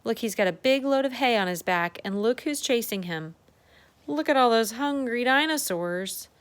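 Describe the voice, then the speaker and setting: A woman's voice: on the high side, animated, moderate pace, smooth. A woman reading a children's storybook aloud as a circle-time teacher, adding chatty asides, exclamations and questions for young listeners.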